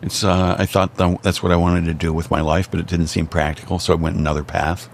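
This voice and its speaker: American man, low voice, unhurried guest telling stories with faint background noise. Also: little pitch movement, creaky. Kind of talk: casual chat.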